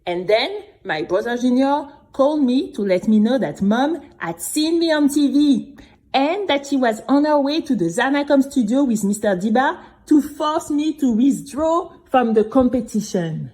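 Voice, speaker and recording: A woman playing an earnest, resilient young singing contestant, narrating her own story in an expressive, deliberate, dramatic way. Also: sits high. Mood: earnest, confiding. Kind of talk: scripted dramatic first-person storytelling.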